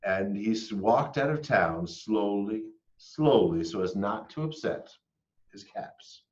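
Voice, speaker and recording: An American man reading a picture book aloud to children with dramatic, deliberate delivery. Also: lowish voice, animated, highs cut off. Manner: dramatic, deliberate storytelling with questions to listeners. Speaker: school read-aloud storyteller.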